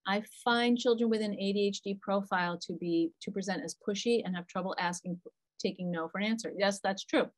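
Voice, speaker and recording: A woman's voice: mid-range voice, poor audio. Talkative middle-aged woman on a video call. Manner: talkative, animated, answers questions from the chat. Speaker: psychologist presenting a parent webinar. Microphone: computer mic on a video call.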